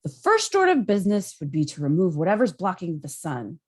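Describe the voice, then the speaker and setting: A woman's voice: fairly low pitch, huge pitch swings, fairly quick, a little mellow, husky, heavily acted. A woman playing a brash, stubborn superhero in a script table read, with a casual, emphatic delivery. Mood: defiant. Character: brash, stubborn, heroic male alien superhero.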